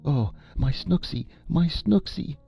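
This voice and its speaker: Man voicing a fretful old aunt, high quavering falsetto, pleading, storybook. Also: sing-song, moderate pace, vocal fry, husky, exaggerated voice. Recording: lo-fi with faint music.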